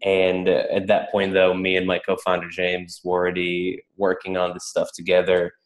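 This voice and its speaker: Guy being interviewed, really low voice, casual and thoughtful, roomy echo. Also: monotone, moderate pace. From Czech Republic. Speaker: interview guest, startup founder. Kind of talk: casual, reflective interview talk. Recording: echoey room.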